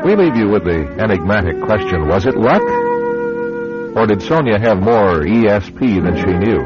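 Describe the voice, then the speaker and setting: An actor as the enigmatic announcer of a suspense radio series, reading scripted dramatic narration. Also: male voice, lowish voice, expressive, normal speed, natural voice. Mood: measured, mysterious. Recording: dull radio recording under loud music.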